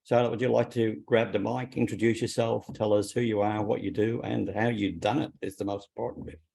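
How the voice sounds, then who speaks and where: Gravelly deep-voiced man hosting. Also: little pitch movement, warm tone. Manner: quick, loose, with fillers. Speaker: meeting host. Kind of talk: casual introduction.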